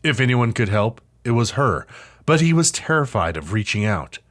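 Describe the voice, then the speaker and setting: A man narrating an interactive audio drama in a suspenseful, theatrical scripted style with dramatic pauses. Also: mid-range voice, sing-song, noisy background. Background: music.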